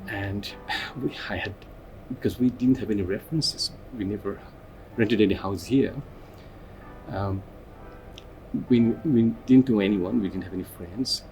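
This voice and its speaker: A man's voice: fairly low pitch, measured pace, darkish tone, rough recording. A middle-aged man, explaining his family's migration in an earnest, explanatory way. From Bangladesh. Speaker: interviewee. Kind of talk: personal interview. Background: background music.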